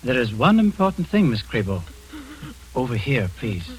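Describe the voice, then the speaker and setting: An actor playing a composed middle-aged man posing as a doctor, speaking with calm, measured, clinical authority in a vintage radio drama. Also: medium pitch, slightly rough, rough recording. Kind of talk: 1940s radio acting.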